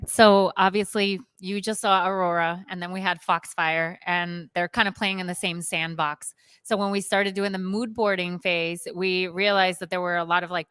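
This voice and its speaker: Brief: woman designer, smooth lower voice, dry sound, relaxed. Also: dry recording. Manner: relaxed, conversational explaining. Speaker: designer presenting. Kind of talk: presentation on a live stream.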